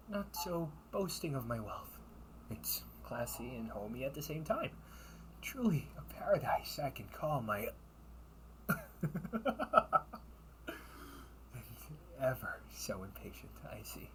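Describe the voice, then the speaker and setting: A man's voice: mid-range voice, very animated, slight background noise, low-quality recording. A man playing a sly, wealthy older brother in a sci-fi audio roleplay, with theatrical, formal, teasing delivery. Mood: amused. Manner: theatrical, formal wording with teasing chuckles and drawn-out pauses. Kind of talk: solo audio roleplay addressed to the listener.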